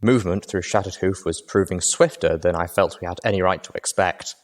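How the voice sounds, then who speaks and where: A man reading first-person audiobook narration in a steady, measured way. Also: low-pitched.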